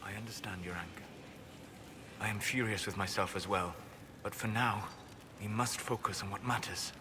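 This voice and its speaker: A man playing a grave, regretful elven mage in a video game, guarded and formal in his measured speech. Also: fairly low pitch, steady tone, noisy background, close to an everyday voice. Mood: regretful, restrained. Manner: formal, measured, restrained phrasing. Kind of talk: dramatic video game acting.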